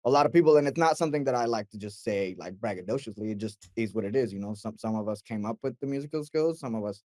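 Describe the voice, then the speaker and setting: A young man from Mexico, a guest mix engineer, telling his story in a relaxed, animated way, full of fillers and acted-out quotes. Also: on the low side, quick pace, no room sound, studio quality. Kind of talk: casual interview chat.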